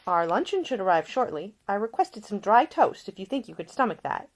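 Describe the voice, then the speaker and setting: A woman's voice: mid-range voice, sing-song, a little fast, voice pushed up. A woman voicing a caring, earnest and proper young woman in a storybook, solicitous and polite. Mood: caring, brisk.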